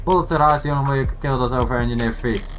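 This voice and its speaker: An actor in a video game playing an agitated, shouting conspiracy-minded protester, loud and insistent. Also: medium pitch, racing, close to an everyday voice. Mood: heated, ranting. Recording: game audio with loud music underneath. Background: game music.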